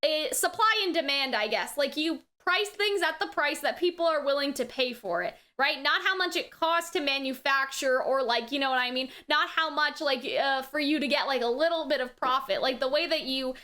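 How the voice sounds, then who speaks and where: A woman's voice: high voice, moderate pace, few pauses, pushed and bright. A woman giving casual reaction commentary as a reviewer, chatty and slangy, often checking in with the listener. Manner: chatty, slangy, frequent "like" and tag questions. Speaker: anime reviewer.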